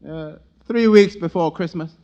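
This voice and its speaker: Through the noise of an old radio comedy comes an actor as an easygoing tavern waiter. His voice sits high, and he feeds questions in a dry, hesitant, teasing way. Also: moderate pace, dull audio, natural voice. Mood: curious. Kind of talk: 1940s radio comedy acting. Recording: noisy, hissy old radio recording.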